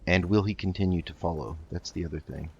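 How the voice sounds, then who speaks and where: A man's voice: sits low, quick pace, creaky, a little mellow, muffled recording, slight background noise. A man hosting a casual let's play, thinking aloud hesitantly as he goes. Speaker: gaming video host.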